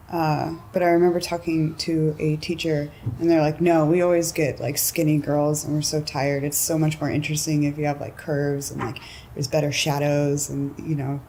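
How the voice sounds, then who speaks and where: A young woman with a notably deep, mellow voice, talking loosely and laughing often. She fills her speech with "like" and "um" in an easy, unguarded way. A faint hiss of background noise sits under the recording. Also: rough recording. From California. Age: 20s. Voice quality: low voice. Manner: relaxed, giggly, lots of "like" and "um" fillers. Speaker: interviewee. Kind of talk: casual interview.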